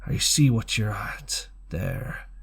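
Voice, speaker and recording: A man voicing a gruff, domineering old seaman in an audiobook, with rough, commanding sailor talk. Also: lowish voice, animated, slow pace, a bit bright, exaggerated voice. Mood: blunt, commanding. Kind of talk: character voice in audiobook.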